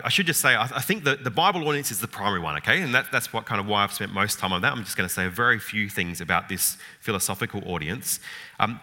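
A man's voice: mid-range voice, sharp tone. A man giving an informal Bible lecture, thoughtful, with frequent fillers, restarts and rhetorical questions. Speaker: Bible teacher. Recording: long hall reverb.

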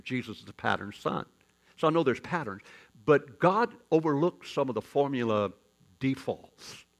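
Male pastor, gravelly fry, mid-pitch, folksy joking sermon with loud bursts. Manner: folksy, joking, animated preaching with sudden loud rises. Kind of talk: storytelling sermon.

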